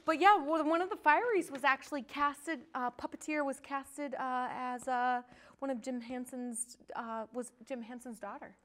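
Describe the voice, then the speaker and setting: A woman's voice: high voice, fairly even intonation, normal speed, a little hiss or hum. A woman on a podcast chatting casually about films in a playful, joking way with chatty interjections. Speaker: podcast co-host or guest. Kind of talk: casual film chat.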